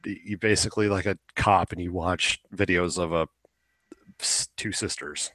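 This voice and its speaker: A man's voice: low-pitched, fairly even intonation, moderate pace, a bit bright, dry recording. A young man chatting casually as a podcast co-host, opinionated and rambling, swearing now and then. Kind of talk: casual chat about video games.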